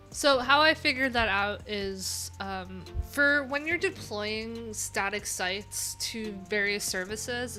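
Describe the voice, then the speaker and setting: A woman thinking aloud in a casual, unscripted tech livestream, informal and halting, often trailing off mid-thought. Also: mid-range voice, bright, forceful tone. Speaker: livestream host, web developer.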